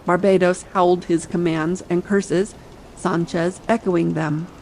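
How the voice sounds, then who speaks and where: A woman's voice: fairly low pitch, fairly even intonation, measured pace, a little mellow, poor audio. A woman narrating a dramatic audiobook reading meant for late-night listening, over sea ambience. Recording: faint ambient sea noise behind.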